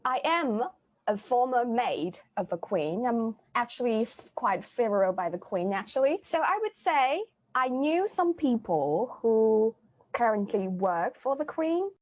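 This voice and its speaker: Brief: woman improvising an earnest ex-maid witch, fairly high voice, halting delivery. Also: expressive. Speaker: improv player. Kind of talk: improvised comedy scene.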